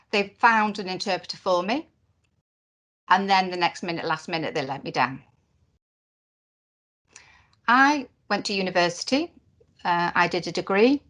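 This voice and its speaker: A woman with a mid-range voice speaks for a deaf presenter at a conference, turning sign language into spoken English. She works phrase by phrase, with pauses and fillers between the chunks. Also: mid-range voice, a bit bright. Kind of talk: live voiced interpretation of a conference talk.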